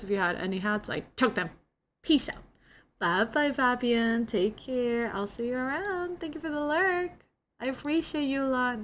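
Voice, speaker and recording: Young indonesian woman streamer, chatty and giggly, lots of "like", faint hiss behind. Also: a little high. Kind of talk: casual chat with viewers.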